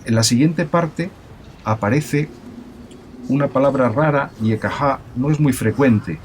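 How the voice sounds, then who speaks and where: A man's voice: medium pitch, unhurried, darkish tone, slight background noise, close to an everyday voice. A man playing an amiable professor of ancient languages, explaining in an emphatic, didactic and formal way, with many restarts, as in a recorded interview. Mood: absorbed, emphatic. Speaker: university professor of biblical languages.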